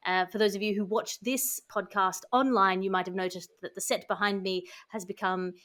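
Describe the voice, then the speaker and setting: A woman's voice: mid-range voice, steady tone, normal speed, fairly bright tone. A woman hosting a satirical podcast, reading news and mock ads in a dry, declamatory, tongue-in-cheek way. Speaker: podcast host.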